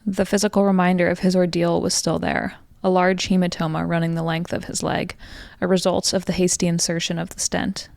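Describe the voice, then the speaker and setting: A young American woman narrates a personal story in a fairly low voice with audible fry. Her delivery is measured and reflective, and her pitch stays very even from phrase to phrase. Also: no room sound, studio quality. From Colorado, United States. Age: 20s. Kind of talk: scripted first-person narration. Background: music.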